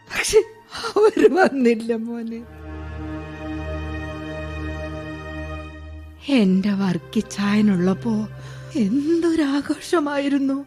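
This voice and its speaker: A woman playing a lonely, widowed old mother in a radio play, talking slowly and tearfully with soft sighs. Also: high-pitched. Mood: sorrowful. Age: older. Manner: slow, tearful, tender speech with long sighs. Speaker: radio drama actress.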